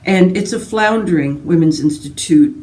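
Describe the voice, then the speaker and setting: A woman talks casually to her viewers in a chatty, self-deprecating way. Her voice is very mellow and relaxed. She is recorded a little way from the microphone, in a room with noticeable echo. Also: lowish voice, moderate pace. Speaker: book vlogger. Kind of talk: casual rambling chat to viewers. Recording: roomy echo, distant microphone.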